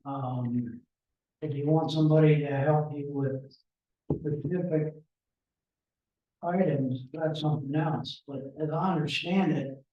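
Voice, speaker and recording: A man's voice: a little high, dark tone. A middle-aged man at a town meeting, explaining loosely and hesitantly with many restarts. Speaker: candidate for town administrator role. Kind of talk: informal meeting talk. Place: meeting room. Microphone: room mic from a distance. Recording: echoey, distant, dull room recording.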